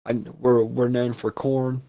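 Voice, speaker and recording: A man's voice: mid-range voice, little pitch movement, a little slow, dull audio. A young man from Ohio chatting casually on a podcast, rambling and swearing freely. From Ohio, USA. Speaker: podcast co-host. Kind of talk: casual chat.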